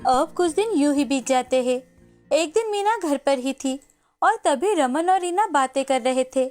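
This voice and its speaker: Female storyteller, high voice, scripted kids' tale over soft music. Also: animated, unhurried. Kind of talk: scripted children's story narration.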